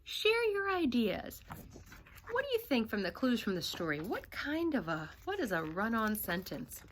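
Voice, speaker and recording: A young adult woman, a teacher, reading a picture book aloud to children in an expressive teacher voice. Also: a little high, normal speed, a little hiss or hum.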